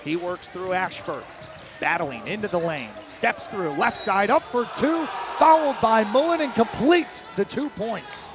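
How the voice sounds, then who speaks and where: A male radio play-by-play announcer with a high-pitched voice calls a live basketball game. He describes the action in short, clipped, rapid-fire phrases, following the ball from spot to spot. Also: animated, noisy background.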